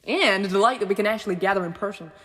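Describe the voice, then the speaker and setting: A woman's voice: medium pitch, very fast, fairly bright tone, close to an everyday voice. A woman playing a gracious, ceremonious host addressing a grand gala in a radio play. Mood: grand, welcoming. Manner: ceremonious, theatrical. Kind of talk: radio play acting, formal speech. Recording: long hall reverb.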